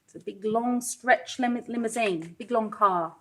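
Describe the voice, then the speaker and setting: A woman with a fairly high voice reads a picture book to small children. She takes it very slowly, in an expressive, sing-song way, and puts questions to her listeners as a pre-school teacher would. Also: slight background noise. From Wales. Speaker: pre-school teacher reading a storybook aloud. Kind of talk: children's read-aloud with asides to young listeners.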